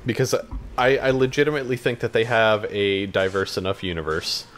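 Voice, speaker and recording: Low-voiced man in casual podcast chat. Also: fairly quick, fairly bright tone, slight background noise. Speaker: podcast co-host.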